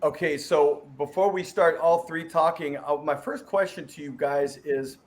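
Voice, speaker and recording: High-voiced chatty male podcast host. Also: a little slow. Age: middle-aged. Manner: chatty, rambling, jokey. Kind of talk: casual interview chat.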